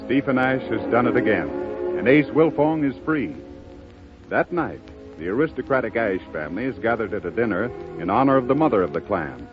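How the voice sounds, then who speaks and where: A man's voice: mid-range voice, expressive, brisk, dull audio. A man delivering scripted narration as the narrator of a 1930s radio drama. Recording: thin 1930s radio recording with music. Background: music.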